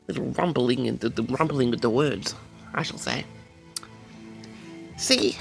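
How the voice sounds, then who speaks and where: A pompous, indignant eccentric played by a man delivers a comic audio-log monologue. The voice is very creaky and lurches theatrically up and down in pitch, with faint music behind it. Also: a bit bright. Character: pompous, easily affronted eccentric man keeping an audio diary. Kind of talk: comic rambling monologue to an audience.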